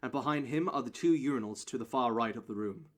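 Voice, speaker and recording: A man's voice: mid-range voice, quick pace. Man narrating an audio drama. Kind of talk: scripted story narration.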